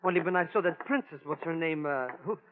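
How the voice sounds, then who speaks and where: A man's voice: on the high side, fast, a crowd in the background, close to an everyday voice. A man playing a nervy, money-grubbing comic sidekick in a vintage radio drama, fussy and wheedling. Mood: fretful. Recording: muffled, band-limited old radio recording.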